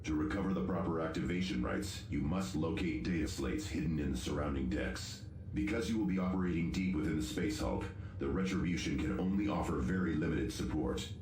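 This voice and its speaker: A man playing a grave, stoic tech-priest space marine in a sci-fi game, speaking formally and solemnly with a very deep, gravelly voice. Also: a little mellow, slight background noise. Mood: formal briefing. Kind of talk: dramatic sci-fi game acting. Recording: roomy echo, music underneath.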